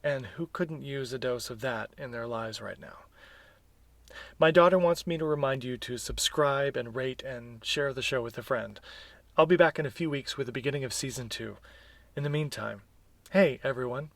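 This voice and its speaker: A man's voice: mid-range voice, normal speed, vocal fry, fairly bright tone, no echo. Middle-aged American man, dry close sound. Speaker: podcast host and interviewer. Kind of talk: scripted introduction and live conversational interview.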